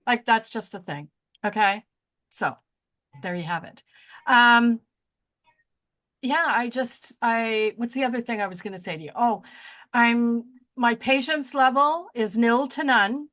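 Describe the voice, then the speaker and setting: A woman's voice: on the high side, unhurried, long pauses, fairly bright tone. A woman in her sixties co-hosting a podcast, chatting casually and rambling, often losing her train of thought and joking at her own expense. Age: 60s. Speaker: podcast co-host. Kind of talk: casual chat.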